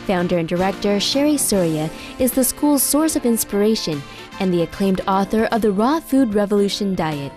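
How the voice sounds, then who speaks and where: A woman reading scripted documentary narration in a measured, formal way. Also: medium pitch, animated, over music.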